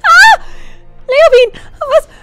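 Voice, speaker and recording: A woman's voice: very high voice, animated, very slow, loud music behind the voice, full character voice. A woman playing a frightened, squeamish, flustered young woman in a fantasy audio drama, shrieky and dramatic. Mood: panicked.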